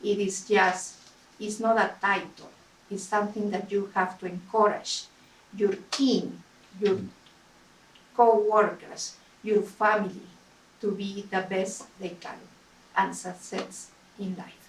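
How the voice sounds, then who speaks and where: A woman giving a motivational speech to a club, halting, with emphatic repetition. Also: medium pitch, flat delivery. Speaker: club speaker. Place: meeting room, recorded from a distance. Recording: echoey room, distant mic, faint noise.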